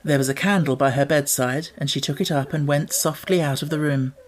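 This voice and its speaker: A man reading an audiobook as narrator, telling the story in a measured, expressive way. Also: a little high.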